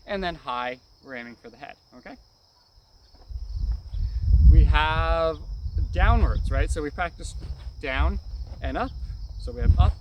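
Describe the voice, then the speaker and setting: A man's voice: fairly high pitch, noisy background. A man giving hands-on martial arts instruction in short, clipped phrases, often adding brief check-in tags. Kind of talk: hands-on technique demonstration, instructional.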